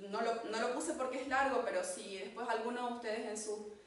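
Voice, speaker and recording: A woman from Argentina with an Argentine accent, giving a church teaching talk in an unhurried way, with fillers and restarts. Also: a little high, fairly even intonation. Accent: Argentine Spanish. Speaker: church speaker. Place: church hall. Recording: echoey hall, distant mic, faint noise.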